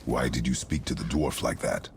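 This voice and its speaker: An extremely deep, gravel-laden voice of a stern god of war and father, for a video game. He is terse, solemn and commanding, with faint noise behind him. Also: moderate pace. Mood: reproving. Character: stern, guarded warrior father, a secretive god. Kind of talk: video game character acting.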